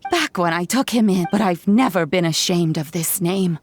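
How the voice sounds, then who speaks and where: An actress playing a gentle, fond elderly woman with a husky voice in a video game. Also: lowish voice, expressive, normal speed, soft background music.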